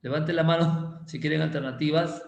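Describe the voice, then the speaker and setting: A male chemistry teacher, giving a casual, playful class and teasing students as he slowly repeats quiz questions. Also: a little high, steady tone, vocal fry. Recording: roomy echo.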